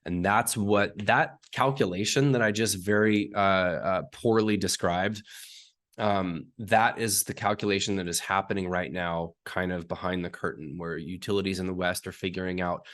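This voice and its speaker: A man with a hoarse, raspy voice from being sick, explaining technical detail step by step as an interview guest and often clearing his throat. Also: low-pitched, fairly even intonation, moderate pace, a bit bright. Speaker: uranium market analyst guest.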